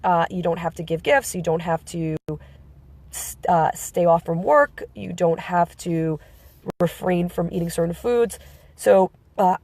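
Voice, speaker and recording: A woman with a slight cold talks to her live-stream viewers in a somewhat low voice. She explains at an easy pace, halting and self-correcting as she goes, and the sound is close and dry with no room around it. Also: a little mellow, dry recording.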